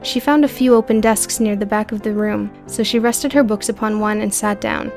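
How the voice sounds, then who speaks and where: A woman's voice: medium pitch, normal speed, darkish tone, faint music behind. A woman narrating an audiobook story in a scripted, dramatic storytelling style.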